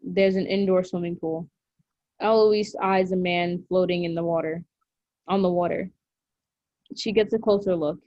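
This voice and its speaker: Low-pitched woman narrating, dry muffled audio. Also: fairly even intonation, moderate pace, pauses often, mellow, dry recording. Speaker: narrator reading stage directions. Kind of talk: scripted narration at a table read.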